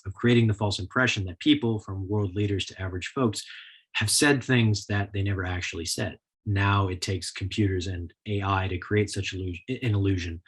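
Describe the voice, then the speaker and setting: Low, husky-voiced man lecturing. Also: fairly bright tone. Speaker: author giving a history lecture. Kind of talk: prepared lecture, measured explanatory talk.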